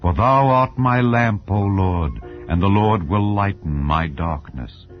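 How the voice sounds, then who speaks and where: A man narrating scripture in a solemn, dramatized style, with measured, declamatory delivery of archaic English. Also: sits low. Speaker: audiobook Bible narrator. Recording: dull, lo-fi audio under music. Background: background music.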